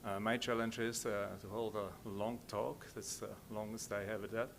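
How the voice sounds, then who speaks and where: Middle aged swiss accented guy giving a slide talk, kinda deep, stops and starts, bit of rumbly noise. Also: flat delivery. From Switzerland. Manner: halting, hesitant delivery. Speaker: conference speaker. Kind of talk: informal slide presentation. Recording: low rumbling background noise.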